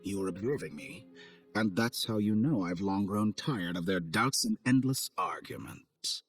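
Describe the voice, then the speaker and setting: Casting: coldly amused villain scientist, lowish voice, formal and theatrical, prominent game score underneath. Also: male voice, lively intonation. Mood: smug, composed. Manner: theatrical, condescending, measured and formal. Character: suave, arrogant male villain scientist, coldly amused schemer. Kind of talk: video game cutscene acting. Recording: studio voice over game music.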